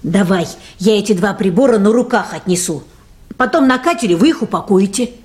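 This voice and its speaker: A woman's voice: mid-range voice, expressive, a little fast, a little mellow, natural voice. An actress playing a motherly middle-aged boat mechanic in a radio play, worried and grumbling in a folksy way. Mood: bustling, worried. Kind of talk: 1980s Soviet radio play acting.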